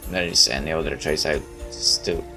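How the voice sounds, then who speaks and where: A man hosting a gaming video, reading a visual novel aloud in a halting, dramatic way with asides. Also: very deep voice, steady tone, pushed and bright, soft background music.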